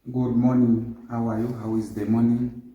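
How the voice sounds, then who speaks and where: A man in his fifties giving a formal interview, recounting his background in a measured way. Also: medium pitch, level tone, phone-quality sound, a little hiss or hum. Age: 50s. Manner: measured, formal, lists dates and places. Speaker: interview guest. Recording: echoey, distant room sound.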